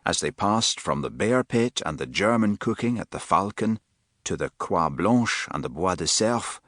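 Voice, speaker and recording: A man reading an audiobook with measured, expressive narration, recorded close on a studio mic. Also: deep voice, dry recording. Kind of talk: scripted narration.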